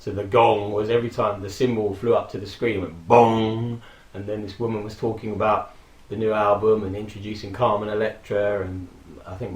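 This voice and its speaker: A man in his forties, hoarse from a throat infection, casually rambling and reminiscing with frequent fillers and laughs. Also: lowish voice, reverberant, low-quality recording. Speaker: vlogger. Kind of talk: casual personal tribute monologue.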